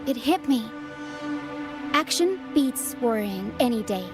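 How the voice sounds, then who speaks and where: Spirited young female acrobat, higher voice. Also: animated, slow pace. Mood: earnest, reassuring. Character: spirited young female theatre-troupe acrobat, caring and brave. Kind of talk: video game character acting. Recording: music behind the voice.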